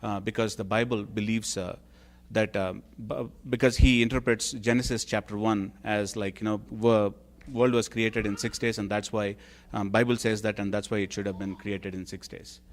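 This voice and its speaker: A man's voice: fairly low pitch, steady tone, moderate pace. A man moderating a church Q&A, reading out questions in a hesitant way, full of ums and uhs. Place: church hall.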